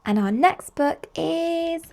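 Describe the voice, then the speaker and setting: A woman reading picture books aloud to young children in an expressive, slow storytelling manner. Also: very high-pitched, gravelly, a little hiss or hum. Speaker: children's storybook reader and host.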